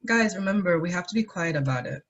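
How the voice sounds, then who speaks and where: A man playing a high school student lookout in an amateur script table read. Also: animated, quick pace, fairly bright tone, dull audio, exaggerated voice. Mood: keen. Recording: clearly noisy mic with clicks.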